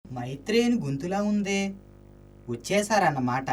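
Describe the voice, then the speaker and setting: A man's voice: sits high, very animated, moderate pace, far from the mic, over music. A man playing a plain, dutiful household servant in a classical radio drama. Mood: businesslike.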